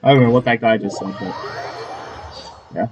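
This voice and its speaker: A man's voice: medium pitch, normal speed, a little mellow, highs cut off. A man giving casual commentary as he plays through a video game. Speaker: gaming video host.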